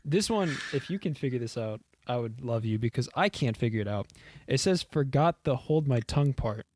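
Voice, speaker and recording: A man's voice: medium pitch, animated, normal speed, darkish tone, no room sound. A young man with a Houston Texan accent, co-hosting a podcast in casual, rambling chat, laughing and swearing loosely. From Houston, Texas. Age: young adult. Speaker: podcast co-host. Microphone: desk mic, leaning in.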